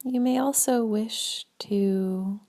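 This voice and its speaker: A woman leading a guided meditation in a gentle, spaced-out way, her soft breathy voice often trailing toward a whisper. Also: fairly high pitch. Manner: slow, gentle, spaced-out phrasing with long pauses.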